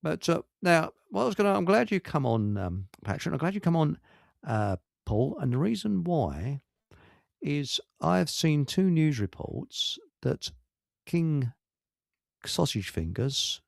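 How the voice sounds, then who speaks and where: A man with a lively, swooping delivery over a gravelly creak. He rambles casually as a radio host, blunt and grumbling, dropping mild British swear words. The sound is close and dry. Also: fairly high pitch, takes it slowly, no room sound. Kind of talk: rambling casual live chat.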